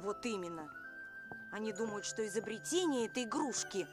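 A woman's voice: medium pitch, animated, a little fast, music in the background, lightly acted. A woman playing an earnest, discontented young inventor in casual cartoon dialogue.

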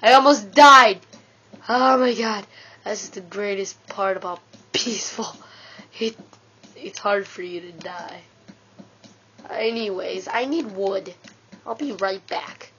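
A woman with a stuffy nose gives casual, rambling commentary while she plays a video game, excitedly talking to herself. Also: mid-range voice, slow, long pauses, slight background noise. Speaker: let's play gamer. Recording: dull lo-fi with game music.

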